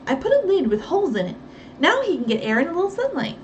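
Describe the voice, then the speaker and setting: A woman doing a shrill fussy housemaid. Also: sing-song, far from the mic, lo-fi sound, a little hiss or hum. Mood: hurried. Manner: high-pitched, hurried, fussy. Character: excitable shrill young housemaid.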